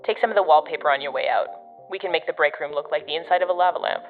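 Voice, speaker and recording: Jaded female operative, low voice, dry sarcasm, radio-filtered, music underneath, podcast drama. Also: rapid-fire, phone-quality sound. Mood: matter-of-fact. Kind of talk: audio fiction podcast acting.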